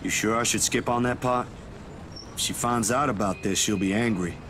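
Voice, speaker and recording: A man's voice: lowish voice, normal speed, fairly bright tone, close to an everyday voice. A man playing an earnest, protective and stubborn young man in a visual novel game. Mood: concerned. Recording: clear background noise.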